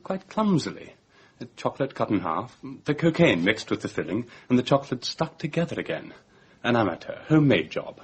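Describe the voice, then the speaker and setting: Very husky, brusque English doctor. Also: male voice, mid-range voice, big pitch swings, a little fast. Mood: clinical. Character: brusque, matter-of-fact English male doctor. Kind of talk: radio drama acting.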